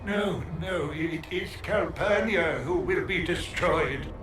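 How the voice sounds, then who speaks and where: An actor playing a broken, captive scholar mage in a video game, grandiose and despairing, rambling in halting, theatrical phrases. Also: male voice, a little high. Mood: anguished. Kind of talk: dramatic video game acting. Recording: roomy echo, music underneath.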